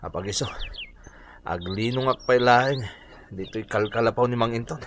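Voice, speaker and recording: A man's voice: medium pitch, normal speed, a little hiss or hum, natural voice. A man with an Ilocano Filipino accent acting an eager, helpful young village volunteer in a radio drama. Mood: weary.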